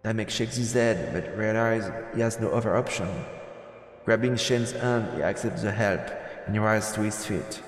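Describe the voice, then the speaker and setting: A man narrating a visual novel as a streamer, in a measured, dramatic storytelling style with deliberate pauses. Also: on the low side. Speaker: let's play streamer narrating. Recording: echoey, long reverb, music behind. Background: background music.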